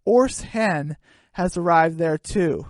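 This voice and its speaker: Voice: medium pitch, slow pace, dry recording. A narrator reading a homemade radio play as scripted storytelling.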